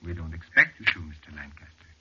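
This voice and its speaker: An actor playing a calm, mysterious cliff-dweller guide in a 1940s radio horror drama, speaking in a formal, measured and polite way. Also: male voice, a bass voice, husky, heavily acted. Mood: patient, mysterious. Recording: very noisy, muffled, clicky vintage radio recording.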